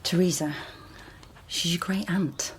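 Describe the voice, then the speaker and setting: A woman's voice: on the low side, fairly even intonation, takes it slowly, slight background noise. An actress playing a wry, guarded woman investigator in a naturalistic radio drama. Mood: frank, earnest. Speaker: lead actor in a radio drama.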